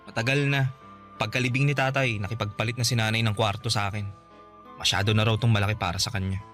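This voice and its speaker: A man's voice: on the low side, steady tone, fast, a bit bright, soft background music, natural voice. A male actor with a Filipino accent playing a resentful, guarded younger brother in his twenties in a radio drama, curt and defensive. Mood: irritated.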